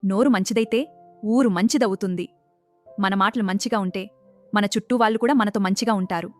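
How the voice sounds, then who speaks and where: A woman's voice: mid-range voice, fairly quick, faint music behind, natural voice. A woman playing an encouraging, instructive schoolteacher in a children's moral story. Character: encouraging female schoolteacher guiding young pupils.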